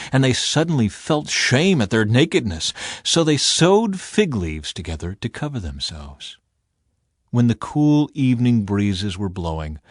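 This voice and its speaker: A man narrating scripture as an audiobook in an expressive, deliberate, dramatic storytelling style. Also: lowish voice, no echo, clean studio sound.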